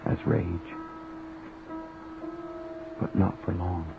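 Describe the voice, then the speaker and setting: Deep-voiced brooding cab driver, tinny radio. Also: male voice, monotone, normal speed, creaky. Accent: Canadian. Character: brooding cab driver, protective, angry husband. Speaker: lead actor and narrator. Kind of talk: naturalistic radio drama with first-person narration. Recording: tinny, band-limited old radio recording, faint noise.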